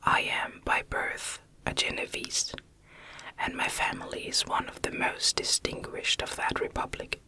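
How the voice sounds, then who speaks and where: A woman reading a classic novel as ASMR narration in a hushed, unhurried, measured whisper. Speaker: audiobook reader.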